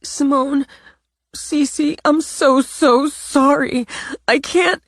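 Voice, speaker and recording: A woman voicing a tearful, frantic young woman who pleads in a high, sobbing voice. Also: fairly even intonation, drawn-out words, no room sound, studio quality, exaggerated voice.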